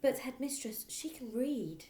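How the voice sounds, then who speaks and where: A woman voicing a gentle, nervous but determined teacher in a storybook, polite and pleading. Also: sits high, lively intonation, unhurried, voice pushed up. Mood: pleading, firm. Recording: roomy echo.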